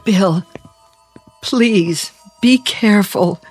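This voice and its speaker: An actress playing a worried middle-aged wife and mother in a radio drama, anxious and halting. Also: mid-range voice, a little mellow, slightly rough, soft background music. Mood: fearful.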